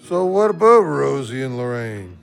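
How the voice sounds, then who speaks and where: An actor in an audio drama playing a big, dim, soft-hearted sidekick with a guileless, slangy way of talking. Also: male voice, mid-range voice, big pitch swings, normal speed, gravelly, soft background music. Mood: slow, mild. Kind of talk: gritty contemporary audio drama dialogue.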